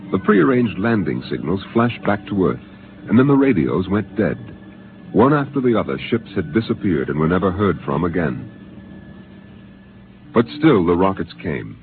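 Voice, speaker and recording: A man narrating a 1950s radio drama in a grave, dramatic manner. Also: low-pitched. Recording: tinny old radio broadcast with music.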